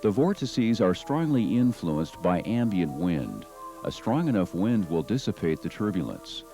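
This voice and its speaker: Deep-voiced American male documentary narrator. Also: dark tone. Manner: measured, formal, instructional. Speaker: narrator of a training film. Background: music under the narration.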